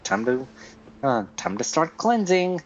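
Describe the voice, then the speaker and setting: A man's voice: medium pitch, very animated, a little slow, a little hiss or hum. A man streaming a video game and reading its dialogue aloud. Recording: dull lo-fi sound with music behind.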